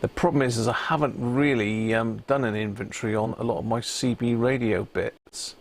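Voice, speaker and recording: A man with a creaky, gravelly voice hosts a relaxed hobby livestream. He chats in a matey way and mutters to himself while tinkering, with noticeable background noise around him. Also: mid-range voice, normal speed. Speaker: livestream host and electronics hobbyist. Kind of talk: casual live chat while working at a bench.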